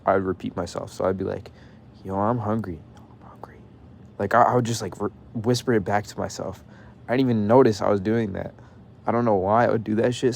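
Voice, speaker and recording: A man's voice: on the low side, gravelly, slight background noise. A young man ranting casually to his viewers, profane and heated, saying bro constantly and stuttering when he gets mad. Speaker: vlogger.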